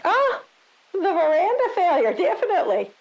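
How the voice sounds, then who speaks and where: High-voiced, chirpy suburban club woman. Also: big pitch swings, normal speed, muffled recording, full character voice. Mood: gleeful, mock-solemn. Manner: rambling, blithely tactless, eager to please. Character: chirpy, self-serving suburban club woman running for election. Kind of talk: comedic audio drama acting.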